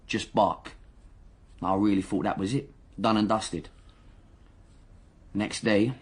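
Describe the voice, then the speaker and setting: An actor playing a cocky, defensive young gang member under interrogation in a radio drama, speaking indignant street slang. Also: male voice, on the low side, slightly rough. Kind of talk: naturalistic radio drama dialogue.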